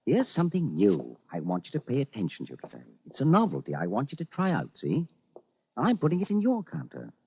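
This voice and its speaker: An actor in old-time radio style playing a crabby, bossy older shopkeeper with a blunt, scolding manner. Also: male voice, mid-range voice, wildly swooping pitch, fairly quick, vocal fry, warm tone, husky, full character voice. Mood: gruffly instructing. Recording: faintly noisy old radio recording.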